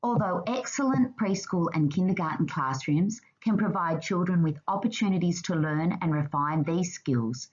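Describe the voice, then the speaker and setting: A woman narrating a scripted slide presentation in a formal, measured reading manner. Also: lowish voice, expressive, breathy edge, highs cut off.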